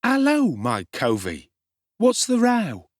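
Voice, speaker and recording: High, cocky Cockney street boy. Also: huge pitch swings, takes it slowly, no echo, studio quality, exaggerated voice. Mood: brash greeting. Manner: brash, slangy, self-assured.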